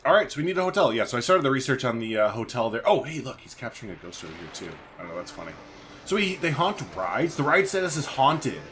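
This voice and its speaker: A man's voice: medium pitch, big pitch swings, fast, fairly bright tone. A man doing casual let's-play commentary, chatty and informal, thinking aloud as he plays. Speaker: gaming video host. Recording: faint background noise.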